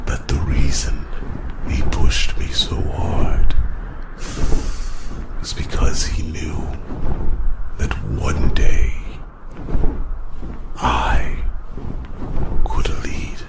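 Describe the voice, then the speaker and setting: A man voicing a huge, proud, protective dragon with a growling, breathy voice, telling his tale solemnly with dramatic pauses in an ASMR fantasy roleplay. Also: steady tone, exaggerated voice. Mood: fond, wistful. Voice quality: very deep, gravelly, breathy growl. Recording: loud rushing ambient noise over voice.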